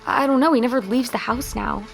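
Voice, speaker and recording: An American woman playing an earnest, grieving teenage high school girl in an audio drama, speaking haltingly and emotionally. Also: vocal fry, faint music behind. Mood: urgent, determined. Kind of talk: naturalistic audio drama dialogue.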